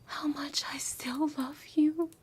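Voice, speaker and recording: A woman acting a lovesick, obsessive former bully back from the future in an intimate ASMR roleplay, speaking in an emotional, tender, halting way with a breathy voice that often drops to a whisper. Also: high-pitched, fairly even intonation. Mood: pleading, tearful.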